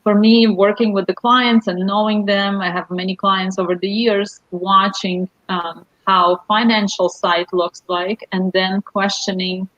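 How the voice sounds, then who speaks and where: A woman's voice: medium pitch, flat delivery, measured pace, hardly any pauses, fairly bright tone, dry recording. A woman with a Ukrainian accent speaks earnestly in slightly broken English, telling her story as a guest coach. Her voice sits in the middle of a woman's range and comes through dry and dull, like lo-fi video call audio. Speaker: guest, life purpose coach.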